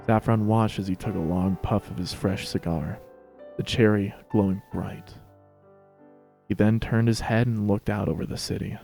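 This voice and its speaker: Low, creaky-voiced male story narrator. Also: fairly even intonation, moderate pace, dark tone, faint music behind. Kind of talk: dramatic narration of fan fiction.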